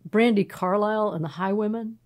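A woman's voice: medium pitch, a little fast. A middle-aged woman pastor from Texas with a Texan accent, telling stories in a casual podcast interview with many fillers and restarts. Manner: warm storytelling, frequent fillers and restarts.

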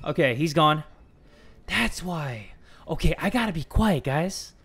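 A young man in a home room on a headset, hosting a horror game playthrough, swinging from loud yelling to hushed talk. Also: a little high, lively intonation, a little slow, slight background noise. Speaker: gaming video host. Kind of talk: energetic horror game commentary.

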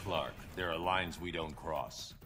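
An actor playing a grim, stern middle-aged masked vigilante in a video game, terse and commanding. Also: male voice, very deep voice, slow pace, heavy background noise. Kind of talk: video game character dialogue. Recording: loud music under dialogue.

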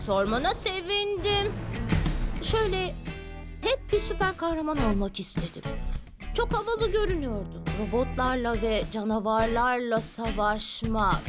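A woman's voice: a little high, animated, normal speed, loud music behind the voice, close to an everyday voice. A woman voicing a gloating mutant impostor girl in a cartoon dub, taunting and telling her tale dramatically. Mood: dramatic, self-pitying. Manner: smug, taunting, dramatic storytelling. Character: gloating butter-mutant girl impersonating a child superhero. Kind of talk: animated cartoon dub acting.

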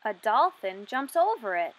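A woman in her twenties reading a picture book aloud for young children, asking questions and enunciating deliberately. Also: a little high, big pitch swings, fairly bright tone, a little hiss or hum, rough recording. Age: 20s.

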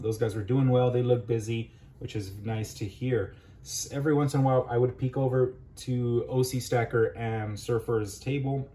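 Man, vlog host, mellow dark timbre, informal, conversational, casual recap, addresses viewers directly. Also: medium pitch. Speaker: video host, coin collector.

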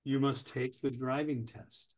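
A man in his sixties playing a pedantic, obstructive older DMV clerk in a staged comedy reading, officious, deadpan and stalling. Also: medium pitch, unhurried, lightly acted. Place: indoors, on a video call.

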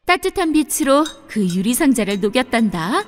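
A woman narrating a scripted children's fairy tale in an expressive storybook manner. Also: high-pitched, moderate pace, faint music behind.

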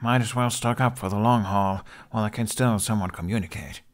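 A man's voice: on the low side, brisk, close to an everyday voice. A man voicing a wry, playful, scrawny teenage boy with sarcastic animation in an audiobook. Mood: casual, grumbling. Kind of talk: audiobook character acting.

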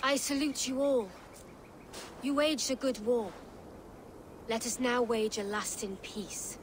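A woman playing a dignified middle-aged noblewoman envoy in a video game, speaking in a formal, measured, stately way. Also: on the high side, noisy background. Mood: solemn. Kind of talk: video game dialogue acting. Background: music.

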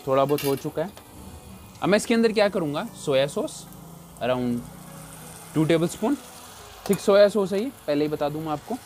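A man's voice: on the high side, animated, moderate pace, pauses often, a little mellow, a little hiss or hum. Chatty man demonstrating a recipe. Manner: chatty, repeats "look here" often. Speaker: cooking show chef. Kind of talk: casual cooking demonstration.

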